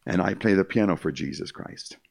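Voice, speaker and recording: An older man preaching his testimony with a deep, low voice. His delivery is emphatic and repetitive as he keeps calling the listeners "my friend." There's a gravelly crackle on his words. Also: a little fast. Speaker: concert pianist giving testimony. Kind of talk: evangelistic testimony speech to a live audience.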